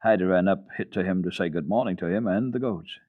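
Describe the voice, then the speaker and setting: A male storyteller with a fairly low voice reads classic children's fiction. His delivery is expressive and unhurried, in the manner of a dramatic radio reading. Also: narrow, tinny audio. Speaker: storyteller, radio program host.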